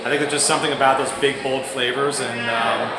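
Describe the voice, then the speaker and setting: A man from Vermont who works as a chef, answering interview questions in a relaxed, thoughtful way with frequent ums. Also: medium pitch, brisk. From Vermont, USA. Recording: roomy echo, loud background noise.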